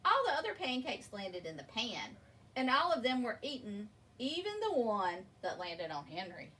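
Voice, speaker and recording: A woman with a bright, very animated voice reads a children's story aloud. She speaks expressively and deliberately, stretching key words. She sounds a little distant from the microphone. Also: on the high side. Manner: expressive, deliberate, drawn-out emphasis. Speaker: storybook reader. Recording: distant mic with faint background noise.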